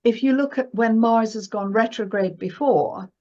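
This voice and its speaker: A woman with a fairly high voice talks as an expert guest in a conversational discussion. She makes her points emphatically and keeps checking that she is being followed. The audio is slightly dull and lo-fi. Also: normal speed, darkish tone. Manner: emphatic, checks in with "right?" and "you know". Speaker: guest astrologer and market analyst. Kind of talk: conversational interview discussion of financial astrology.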